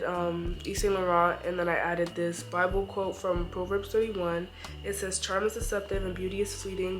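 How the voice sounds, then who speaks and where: A young woman talks in a loose, chatty vlog style, dropping "like" into nearly every sentence. Her voice is stuffy and congested from a cold and sits a little low. Faint music plays behind her. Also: a bit bright. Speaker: vlogger. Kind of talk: casual chat.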